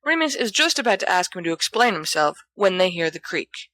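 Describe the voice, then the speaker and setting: A woman's voice: on the low side, big pitch swings, brisk, bright, forceful tone, no echo. A woman reading scripted fiction aloud as an audiobook narrator. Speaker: audiobook reader.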